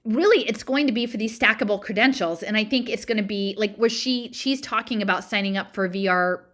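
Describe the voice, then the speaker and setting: A woman's voice: mid-range voice, a little fast, fairly bright tone. A woman presenter giving an informal explanatory talk, digressing and thinking aloud as she goes.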